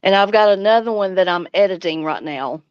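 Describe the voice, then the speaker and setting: A woman's voice: medium pitch, a little fast, clean tone, dry recording, dull audio. A middle-aged woman hosting a casual livestream, chatting and answering viewer comments. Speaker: livestream host and homesteading vlogger.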